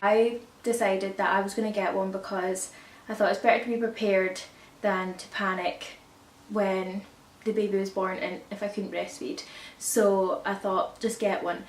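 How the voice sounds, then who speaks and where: A woman with a croaky voice from a cold, chatting casually to camera about baby products and often correcting herself. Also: medium pitch, flat delivery, moderate pace. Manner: rambling, self-correcting, chatty. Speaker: parenting vlogger. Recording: echoey room, distant mic, faint hiss.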